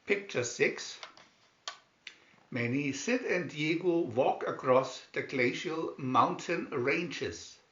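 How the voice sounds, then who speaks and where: A man reading a children's picture-story booklet aloud in scripted narration, deliberately and with pauses. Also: a little high, fairly bright tone. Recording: dull lo-fi, roomy echo.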